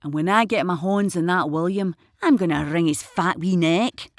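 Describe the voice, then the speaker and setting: A woman's voice: on the low side, moderate pace, dry recording, natural voice. An actress playing a blunt but tender, protective older mother figure with a Glaswegian accent in an audio drama. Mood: scornful. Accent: Glaswegian Scottish.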